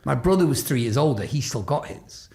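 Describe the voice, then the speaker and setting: A man's voice: medium pitch, animated, brisk, warm tone. A man in his forties, raised in Scotland and England, hosting a podcast interview, telling rambling anecdotes with many restarts. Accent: faint Glaswegian, mostly lost, Stoke-on-Trent upbringing. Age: 40s. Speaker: podcast host. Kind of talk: casual conversational interview.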